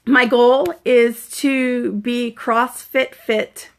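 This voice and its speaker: A chatty, earnest woman in her forties from Canada, with a voice sitting a little high. She talks casually to her viewers as a fitness coach, now and then breaking off to greet people brightly. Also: fairly even intonation, drawn-out words, vocal fry. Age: 40s. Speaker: fitness coach sharing concussion recovery. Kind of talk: casual live-stream vlog, greeting viewers.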